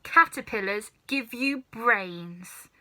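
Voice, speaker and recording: A sinister old grandmother in a children's storybook, performed by a woman reader. She is snappish and commanding, with a bright, forceful voice. She takes her time, stretching words with nasty relish. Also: on the high side, animated, slow pace, full character voice, low-quality recording. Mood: snappish, contemptuous. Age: older. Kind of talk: children's storybook character reading.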